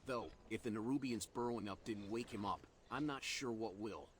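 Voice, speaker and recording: A man's voice: fairly low pitch, moderate pace, slight background noise, lightly acted. A man voicing a folksy, wry elemental healer who gives out quests in a fantasy game, chatty and teasing. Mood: teasing, practical.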